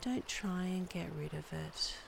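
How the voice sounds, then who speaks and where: A woman guiding a meditation in a soft, breathy, soothing voice with measured delivery and long pauses. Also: on the low side, lively intonation, background noise. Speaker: meditation guide and podcast host. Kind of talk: guided body scan meditation, scripted narration.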